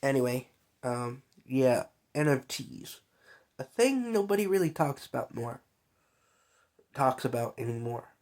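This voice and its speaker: A man's voice: mid-range voice, sing-song, unhurried, long pauses, darkish tone. A young man who is sick and coughs now and then, talking in a loose, rambling, slangy vlog monologue full of fillers. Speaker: vlogger.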